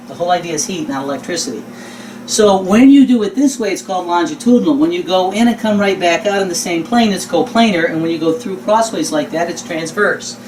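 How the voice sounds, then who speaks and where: Male lecturer, higher-pitched voice, folksy and emphatic, quizzing as he teaches. Roomy, distant-mic capture. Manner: folksy, informal, emphatic repetition of key words. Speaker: instructor. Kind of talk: conversational teaching lecture with rhetorical questions. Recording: roomy, distant mic, faint background noise.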